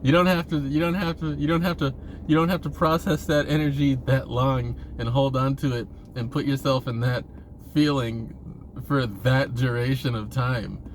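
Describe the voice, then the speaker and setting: A man giving a casual, rambling monologue to viewers, halting and repeating himself, with informal, sweary phrasing. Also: medium pitch, fairly even intonation, a little hiss or hum. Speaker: vlogger.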